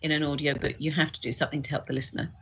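A woman's voice: deep voice, little pitch movement, racing, a bit bright, husky. A woman talking casually and explaining things as an audiobook producer in an interview. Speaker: audiobook producer, podcast guest.

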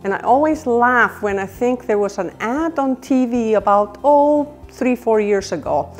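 A woman's voice: a little high, expressive, soft background music. An older woman from Iceland with an Icelandic accent, earnestly introducing herself as a realtor to camera in a living room. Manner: earnest, measured, gesturing with both hands. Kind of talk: promotional self-introduction to camera. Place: indoor living room by a stone fireplace.

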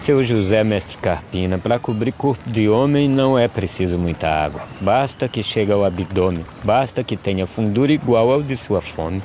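A Brazilian actor playing a gaunt, despairing drought migrant in an animated verse drama, solemnly declaiming poetic questions. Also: male voice, fairly low pitch, normal speed, hardly any pauses, lightly acted. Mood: pleading, questioning. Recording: outdoor ambience noise behind the voice.

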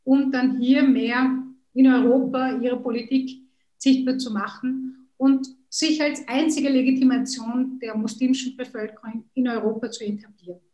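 A woman's voice: sits high, fairly even intonation, moderate pace, warm tone, roomy sound, low-quality recording. A woman in her thirties whose first language is Kurdish, speaking earnestly on a video call with frequent hesitations. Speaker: politician, interview guest. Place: room at home.

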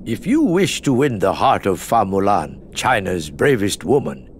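An actor playing a stately elderly emperor, stern yet benevolent, speaking formally and with solemn measure in a game cutscene. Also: male voice, fairly low pitch, big pitch swings, measured pace, slight background noise. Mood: gently teasing.

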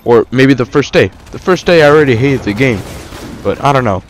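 A man's voice: medium pitch, expressive, fairly quick, slight background noise. A young man casually reviewing a shooter game over gameplay, rambling with many fillers and restarts. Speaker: gaming YouTuber.

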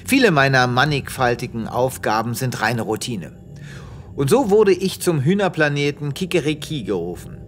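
An actor playing a self-important, earnest space veterinarian in a comic science-fiction radio play, with a pompous theatrical delivery. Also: male voice, mid-range voice, animated, normal speed, soft background music, natural voice.

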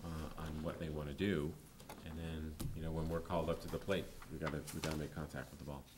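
A man in his forties, a town planning official, answering a board's questions at a public meeting, often pausing with ums and restarting sentences. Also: rumbling low, little pitch movement, noisy background. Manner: hesitant, many ums and restarts. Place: town hall meeting room. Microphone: desk microphone.

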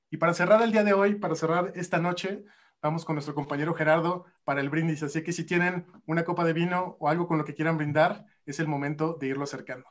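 A man in his thirties giving a rousing closing speech on a video call and challenging his audience. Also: fairly high pitch. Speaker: event host. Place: home room on a video call.

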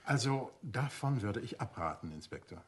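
An actor playing a shrewd, courteous gentleman detective in a German radio crime drama, urbane, probing and gently ironic. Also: on the low side, lively intonation, moderate pace, slightly rough. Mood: shrewd, composed.

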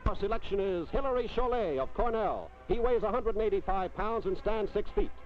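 A man's voice: sits high, animated, a little fast. A middle-aged American man hosting a sports newsreel in a formal 1950s announcer delivery. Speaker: sports telecaster, show host. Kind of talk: 1950s newsreel scripted narration. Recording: dull vintage film soundtrack, music behind.

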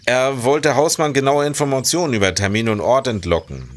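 A man's voice: medium pitch, a little fast, lightly acted. An actor playing a self-assured, matter-of-fact policeman in a historical crime audio drama. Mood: explaining. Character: deep-voiced male security policeman, self-assured investigator of historical crime. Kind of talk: German audio drama acting.

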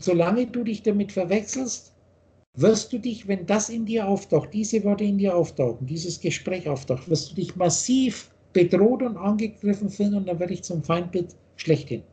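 A man's voice: sits high, normal speed, warm tone. Older man lecturing insistently on a webcam. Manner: insistent, gestures a lot while holding forth. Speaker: spiritual teacher. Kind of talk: lecturing on a video call. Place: home office, indoors. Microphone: video call webcam.

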